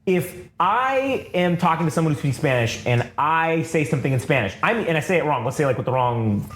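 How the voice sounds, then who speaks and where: A man's voice: a little high, brisk, roomy sound, a little hiss or hum. An American man hosting a podcast in casual chat, rambling and swearing freely. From United States. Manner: profane, rambling, animated. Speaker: podcast host.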